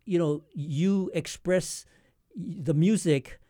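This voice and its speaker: A man hosting a conversational radio interview, speaking in a halting way as he searches for his words. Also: fairly high pitch, dark tone, no echo, studio quality. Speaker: radio show host.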